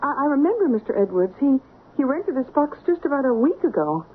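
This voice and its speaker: Casting: a talkative female bank clerk, raised pitch, eager and curious, tinny old radio mystery sound. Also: very animated, fairly quick. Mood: chatty, helpful. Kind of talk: radio drama acting. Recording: tinny vintage radio with background hiss.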